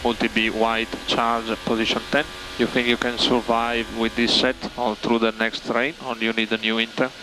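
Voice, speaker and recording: A man's voice: medium pitch, monotone, normal speed, few pauses. Male race engineer on noisy team radio. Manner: clipped, repeats key information. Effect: team radio transmission. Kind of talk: terse team radio updates. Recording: noisy radio link, car noise. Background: racing car and vehicle noise.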